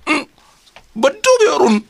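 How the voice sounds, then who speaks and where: A man's voice: extremely high pitch, very animated, unhurried, creaky, heavily acted. A man playing a jovial, wheedling husband in a comedy radio drama, coaxing with repeated tag questions.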